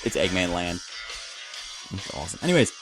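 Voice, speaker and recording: Rambling male gamer over loud game music. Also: low-pitched, big pitch swings, brisk, poor audio. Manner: enthusiastic fan asides, trailing off and rephrasing. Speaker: gamer commentating a playthrough. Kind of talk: casual rambling gameplay commentary. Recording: game music playing behind voice. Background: video game rock music.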